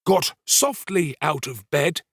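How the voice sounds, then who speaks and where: A man voicing a pompous, self-important male servant in a storybook reading, with drawn-out, emphatic delivery. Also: a little high, very animated, creaky, slightly rough, dry recording, studio quality, pitched above the performer's own voice, heavily acted. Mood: dramatic, grave.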